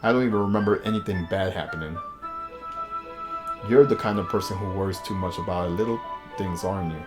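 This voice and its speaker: A man livestreaming a game, chatting casually in slangy, animated commentary and reading on-screen text aloud. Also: low-pitched, a little fast, music in the background.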